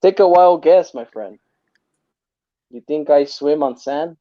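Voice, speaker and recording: A man's voice: fairly high pitch, no room sound. A man hosting a livestream, chatting casually and chuckling often as he reads out viewer comments over a video call. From desert region. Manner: laid-back, chuckles often, drawn-out filler. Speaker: livestream host. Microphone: remote video call mic. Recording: dull, dry call audio.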